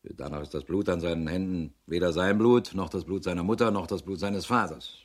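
An actor playing a businesslike male police investigator in a radio crime drama, reporting in a matter-of-fact way with a husky voice. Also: sits low, brisk. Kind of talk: German radio drama acting.

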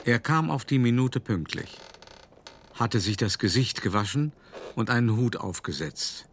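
An actor playing a calculating, urbane male art dealer who recounts his past deliberately and confidingly in a radio play monologue. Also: mid-range voice, expressive, slight background noise. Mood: reflective. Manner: deliberate, confiding, addressing the listener directly. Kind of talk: first-person dramatic monologue in a German radio play.

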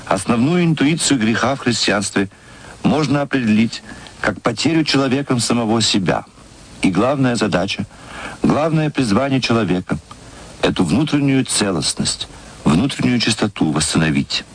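A man giving a measured, reflective spiritual talk from an old radio archive. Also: mid-range voice, expressive, breathy edge, low-quality recording. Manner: measured and thoughtful.

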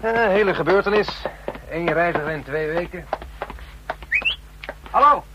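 An actor playing a chatty, obliging country stationmaster in a radio drama, solicitous and full of exclamations. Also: male voice, a little high, measured pace, lo-fi sound, lightly acted. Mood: chatty, calling out. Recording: faintly noisy old radio recording.

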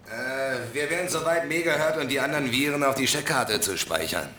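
An actor playing an eager, obedient hacker henchman, brisk and matter-of-fact, in a children's radio drama. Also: medium pitch, animated, bright, forceful tone, a little hiss or hum. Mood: businesslike.

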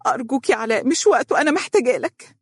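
A woman's voice: high-pitched, very animated, racing, slightly rough, dry recording, studio quality, pitched above the performer's own voice, exaggerated voice. A woman voicing a desperate young wife with an Egyptian accent, trembling and pleading through tears. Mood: tearful pleading. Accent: Egyptian Arabic. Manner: trembling, tearful delivery.

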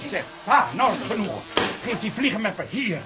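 An actor playing a fed-up but tactful bar keeper in a radio drama, dry, firm and diplomatic. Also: male voice, high-pitched, big pitch swings, normal speed, over loud music. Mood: exasperated.

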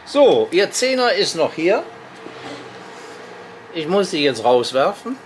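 A man's voice: on the high side, sing-song, takes it slowly, a little hiss or hum. An older man in his sixties or beyond, a shopkeeper chatting casually and bluntly. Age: 60s or older. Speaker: lottery shop keeper. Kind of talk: casual chat. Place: inside a small lottery shop.

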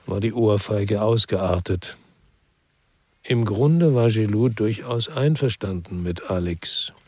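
Low-voiced brooding ex-cop. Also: male voice, darkish tone, slightly rough. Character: brooding male ex-policeman narrating his own crime story. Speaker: first-person narrator and lead actor. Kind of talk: literary radio crime drama narration.

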